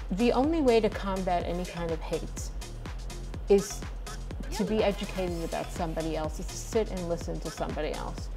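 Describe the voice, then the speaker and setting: A woman's voice: on the low side, gravelly. A woman in her sixties, an author talking as a guest in an interview, earnest and emphatic. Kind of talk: conversational interview.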